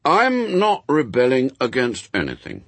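An earnest young boy from a storybook, performed by a male reader, with a raised, highly animated voice. He argues stubbornly but reasonably, in plain childish words that run on and on. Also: normal speed, slightly rough, dry recording, heavily acted. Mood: stubborn, reasonable.

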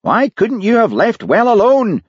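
Man acting a fussy, high-pitched police chief. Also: sing-song, normal speed, darkish tone, breathy edge, no room sound, highs cut off. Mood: angry. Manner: fussy, pompous. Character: fussy, easily flustered senior police chief, male. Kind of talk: audiobook character voice.

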